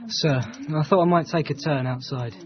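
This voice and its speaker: A man acts a prickly Edwardian gentleman's companion. His fairly high voice is stiff and proper, in radio-play style, on slightly muffled audio. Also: normal speed, a crowd in the background, lightly acted. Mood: uneasy. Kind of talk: period radio drama acting. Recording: dull, band-limited broadcast audio.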